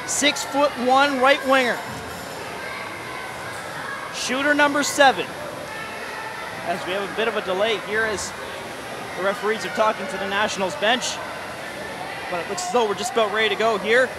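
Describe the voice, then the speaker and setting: High-pitched shouting hockey commentator. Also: male voice, steady tone, normal speed, long pauses. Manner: builds to shouted goal calls. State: losing his voice. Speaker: play-by-play commentator. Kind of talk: excited sports commentary. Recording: crowd and arena noise behind.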